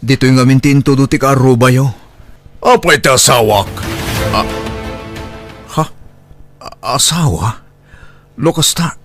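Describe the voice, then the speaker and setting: A man's voice: mid-range voice, a little slow, long pauses, a bit bright, faint music behind, close to an everyday voice. A man with an Ilocano Filipino accent playing a humble, distressed young father left alone with a baby, in audio drama style, hesitant and pleading. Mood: polite, confused. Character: distressed young single father, humble, abandoned by his partner.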